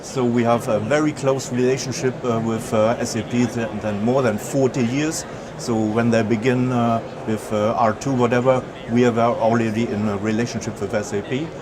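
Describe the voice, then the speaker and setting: German-accented man giving a halting talk. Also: medium pitch, steady tone, a crowd in the background. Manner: halting, many filler sounds and restarts. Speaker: conference speaker. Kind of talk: conference presentation.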